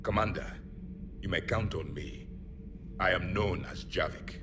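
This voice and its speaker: A man's voice: low-pitched, unhurried, noisy background. An actor playing an ancient male alien warrior in a video game, speaking in an imperious, disdainful and formal way. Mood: solemn. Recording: loud music behind the voice.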